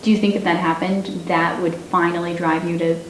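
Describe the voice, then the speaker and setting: A woman's voice: fairly low pitch, flat delivery, brisk. A woman asking questions as an interviewer, picked up at a distance. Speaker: interviewer, reporter. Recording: echoey, distant, slightly noisy pickup.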